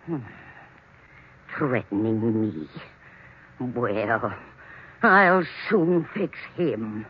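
An actress playing a bossy, ill-tempered older wife in an old-time radio drama, snapping imperiously and suspiciously. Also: female voice, extremely low pitch, animated, drawn-out words, exaggerated voice. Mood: vengeful. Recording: muffled, noisy old radio recording.

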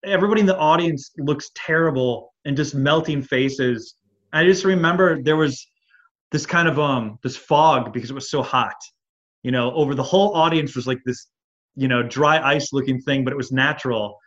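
A man's voice: mid-range voice, normal speed, fairly bright tone. Midwest michigan guy, kinda nasal, rambling band stories, dull lo-fi sound. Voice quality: slightly nasal, as if with a cold. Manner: rambling, storytelling, many fillers. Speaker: band singer and guitarist, guest. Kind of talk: casual interview chat.

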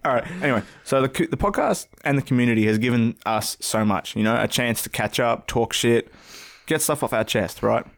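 A man hosting a podcast in loose, joking banter, often breaking into laughter and restarting his sentences. His voice sits at an ordinary middle pitch for a man. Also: brisk, gravelly. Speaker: podcast co-host. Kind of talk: casual banter.

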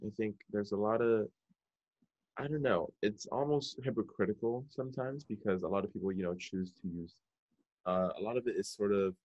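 A man with a very deep voice hosts a relaxed podcast conversation. He talks casually and hesitantly, leaning on fillers while he shapes his questions. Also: highs cut off. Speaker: podcast host.

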